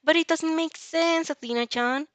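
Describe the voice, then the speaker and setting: A woman voicing a bubbly, affectionate teenage girl in a fan story reading, gushing and chirping with excitement. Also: extremely high pitch, moderate pace, no echo, pitched above the performer's own voice. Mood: earnest, excited.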